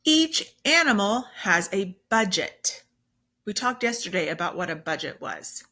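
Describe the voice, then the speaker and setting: Female teacher, bright and animated, unhurried children's read-aloud with emphatic simple explanations. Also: mid-range voice.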